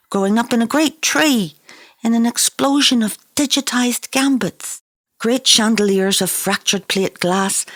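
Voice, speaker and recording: Female author-narrator, lower register, dry close sound, dramatic and incantatory literary read. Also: dry recording. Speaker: author reading their own novel.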